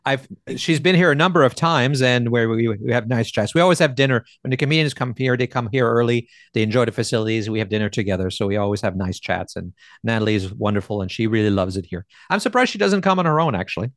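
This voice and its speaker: A middle-aged native French speaker from Quebec with a Quebec French accent, talking in a friendly, measured way as a guest. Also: male voice, medium pitch, dry recording, clean studio sound. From Quebec, Canada. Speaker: guest, naturist park owner. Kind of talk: casual interview chat.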